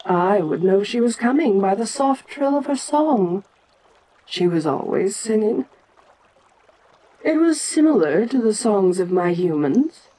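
An ancient giantess kaiju queen, acted by a woman, speaks very slowly and with regal deliberation. Her female voice sits at a middling pitch. She muses imperiously, pausing often, as in an intimate audio roleplay monologue. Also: mellow, rough recording. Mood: fond, wistful.

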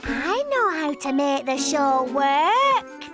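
Very high-pitched little cartoon princess. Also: female voice, very animated, slow pace, full character voice. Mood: cheerful. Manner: exclaiming, childlike, emphatic. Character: excitable bossy little princess, a small girl. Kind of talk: children's cartoon acting. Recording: music behind the voice.

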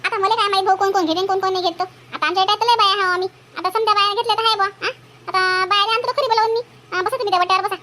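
A woman's voice: very high-pitched, steady tone, very fast, bright, forceful tone. A woman playing a bossy, chatty village housewife in a comic cartoon, with a Varhadi Marathi accent and a cartoonishly raised voice. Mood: bossy, helpful. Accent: Varhadi Marathi from Vidarbha. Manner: folksy, bossy, talkative.

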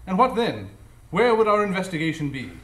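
An actor playing a brisk, analytical gentleman detective, formal and declamatory, in a live radio drama. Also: high voice, big pitch swings. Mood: emphatic, confident. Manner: declamatory, formal, self-assured. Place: live stage before an audience. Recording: echoey live stage recording.

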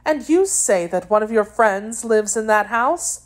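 An anxious, guarded young lady from a classic adventure novel, performed by a woman narrator. Her voice sits high, and she speaks formally and emphatically, with an imperious edge. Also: expressive, unhurried. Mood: impatient.

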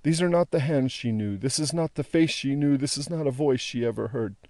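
Casting: man as frail-minded old male captive for a classic audiobook. Bewildered, halting, haunted, pitched up, very dry recording. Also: dark tone, no room sound, natural voice. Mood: gloomy, yearning. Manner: halting, repetitive, wondering speech.

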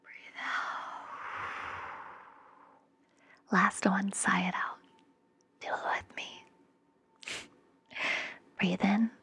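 Young woman whispering asmr relaxation stuff, super breathy, gentle and reassuring, pretty flat pitch, right up on the mic. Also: very slow, long pauses. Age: young adult. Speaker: ASMR podcast host. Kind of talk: ASMR, soothing guided relaxation and affirmations. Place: indoor room, close up. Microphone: fluffy-covered microphone very close to the mouth.

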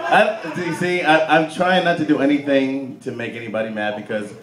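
An American man doing a profane stand-up roast on a club stage, brash and quick to snap back at hecklers. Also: medium pitch. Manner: brash, animated, swearing, talking back to hecklers. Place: club stage before a live audience. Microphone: handheld stage mic through PA, filmed from the crowd. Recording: roomy club PA with audience noise.

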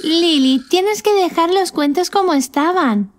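A woman's voice: very high-pitched, measured pace. A woman voicing a cheerful, curious little witch girl in a children's cartoon, with a Castilian Spanish accent. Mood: firm. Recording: children's music behind the voice. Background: children's background music.

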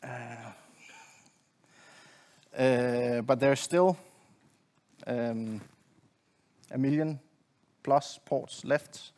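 A middle-aged man giving a technical presentation from a conference stage in a halting, hesitant way. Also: medium pitch, darkish tone. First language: not Swedish. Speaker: conference presenter, network engineer. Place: conference stage at a lectern, indoors.

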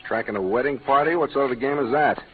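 An actor playing a steady, righteous American cowboy in a radio western, terse and commanding. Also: male voice, mid-range voice, fast talker, close to an everyday voice. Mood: questioning, resolute. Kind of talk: 1940s-50s western radio acting. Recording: noisy, narrow-band old radio recording.

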